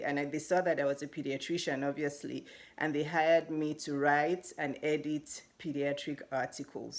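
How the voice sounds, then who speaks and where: Low-voiced Nigerian woman coaching. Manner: encouraging, chatty, laughs between phrases. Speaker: life coach. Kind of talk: casual motivational talk to camera.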